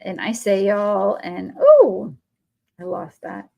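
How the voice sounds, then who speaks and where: A woman's voice: mid-range voice, big pitch swings, slow, creaky, highs cut off. Chatty woman with a Texan twang. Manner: chatty and rambling, talks to viewers while working; friendly, answers viewer comments. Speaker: craft livestream host. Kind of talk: casual live crafting chat.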